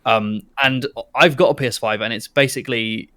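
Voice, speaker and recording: A man's voice: mid-range voice, normal speed, bright, forceful tone, no room sound. A man talking casually as a panelist in a conversation about video games. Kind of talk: casual chat.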